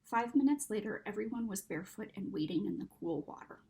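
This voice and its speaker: A woman reading a children's story aloud in an expressive, dramatic narrating style. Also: mid-range voice, fairly quick, gravelly. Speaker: story reader.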